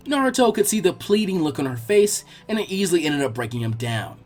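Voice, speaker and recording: Animated, higher-voiced male storyteller. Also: fairly quick, faint music behind. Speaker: narrator. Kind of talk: expressive fan fiction narration.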